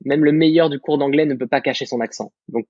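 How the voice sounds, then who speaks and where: A young man from France in his twenties, a guest content creator talking through his story with animated self-assurance. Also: mid-range voice, fast, breathy edge, no echo. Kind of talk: casual podcast discussion. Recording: slightly muffled dry recording.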